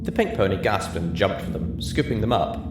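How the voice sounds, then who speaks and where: A man's voice: low-pitched, lively intonation, brisk. A man reading a story aloud as dramatic audiobook narration. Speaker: story reader. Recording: roomy echo with music behind. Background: music.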